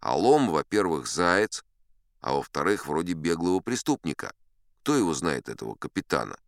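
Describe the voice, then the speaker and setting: Man playing a deep-voiced, wry old-salt sea captain. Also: very animated, normal speed, no room sound, studio quality. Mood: animated, confiding. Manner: conversational, wry, leisurely asides to the listener. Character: seasoned sea captain spinning tall tales, unflappable, chatty. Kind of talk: theatrical audiobook storytelling in first person.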